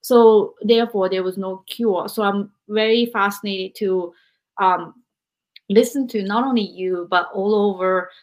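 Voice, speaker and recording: A woman's voice: medium pitch, fairly even intonation, unhurried, rough recording. A woman in her forties from Japan hosts an interview in a mid-range voice. She thinks aloud as she goes, leaning on "like" and "you know" as she shapes her questions and reflections. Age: 40s. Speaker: podcast host. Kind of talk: interview conversation.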